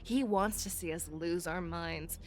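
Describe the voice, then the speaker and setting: An actress playing a composed, commanding warrior general and mentor in a video game, speaking with measured authority. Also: female voice, fairly low pitch, natural voice. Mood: calm, calculating. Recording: game music behind.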